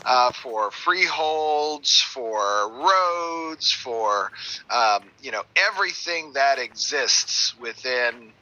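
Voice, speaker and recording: A man presenting game design on a livestream, his voice raspy and tired, rambling with many fillers. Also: mid-range voice, lively intonation, extremely slow, pushed and bright. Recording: dull sound with faint music.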